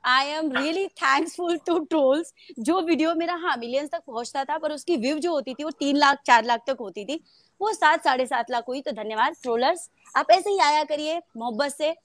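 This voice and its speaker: A woman's voice: sits high, moderate pace, no echo. Chatty young woman from Mumbai. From Mumbai, India. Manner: chatty, laughs often, many hesitations. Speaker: actress, interview guest. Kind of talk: casual interview chat.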